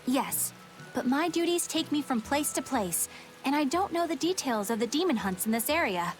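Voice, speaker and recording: Earnest, high-voiced young exorcist. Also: female voice, lively intonation, moderate pace, poor audio. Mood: polite, inquiring. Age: young adult. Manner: polite, sincere. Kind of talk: video game voice acting. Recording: music behind.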